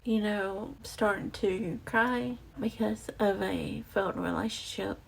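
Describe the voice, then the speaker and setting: A young woman with a raspy voice telling a personal story to her viewers, halting and reflective, with many fillers and restarts. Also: mid-range voice, fairly even intonation, a little hiss or hum, poor audio. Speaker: vlogger. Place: outdoors or near a street with passing cars. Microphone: close mic.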